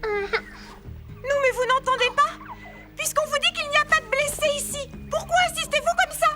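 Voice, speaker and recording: Squawky, very high bossy little critter. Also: female voice, moderate pace. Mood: irritated. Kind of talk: cartoon dub acting. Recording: loud music under the dialogue.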